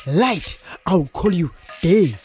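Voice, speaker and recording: A woman's voice: fairly low pitch, animated, takes it slowly, darkish tone, soft background music. A woman playing a restless fire spirit in a children's folktale audio drama, with a declamatory, emphatic delivery. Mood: commanding. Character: restless, easily bored female fire spirit.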